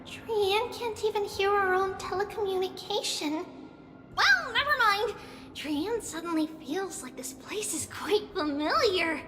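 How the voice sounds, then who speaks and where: A woman's voice: very high voice, animated, unhurried. A woman voicing a small, chirpy, earnest and curious little girl with a childlike manner in a video game dub. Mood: curious, puzzled. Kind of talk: English game dub acting. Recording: reverberant game audio with background music.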